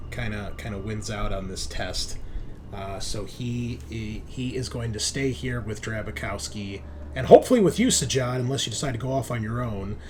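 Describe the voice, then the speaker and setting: A man's voice: medium pitch, background noise. A man narrating a tabletop game as game master, conversational and thinking aloud as he goes.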